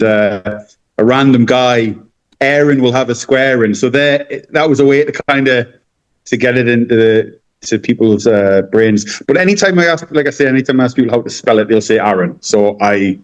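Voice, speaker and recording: A man's voice: medium pitch, normal speed. A man with a North-East English accent telling stories casually as an interview guest, rambling and often repeating his words. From North-East England. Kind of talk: casual conversational storytelling.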